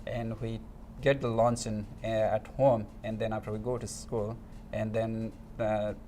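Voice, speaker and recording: A man's voice: lowish voice, flat delivery, measured pace, warm tone, a little hiss or hum. A man from Nepal with a Nepali accent, answering interview questions in hesitant non-native English, searching for words. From eastern Nepal. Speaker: interview guest.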